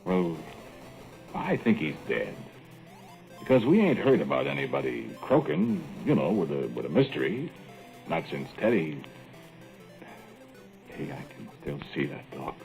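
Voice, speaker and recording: A man's voice: extremely low pitch, wildly swooping pitch, measured pace, vocal fry, pauses often, darkish tone, heavily acted. An actor playing a skeptical, plain-spoken rural young man with a dry, doubting drawl, in a 1940s radio play. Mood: matter-of-fact. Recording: muffled old radio broadcast, faint music.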